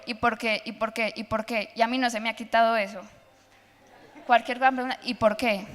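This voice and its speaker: A woman's voice: a little high, fairly bright tone. A teenage girl speaking passionately and argumentatively as a panelist at a public forum. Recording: long hall reverb.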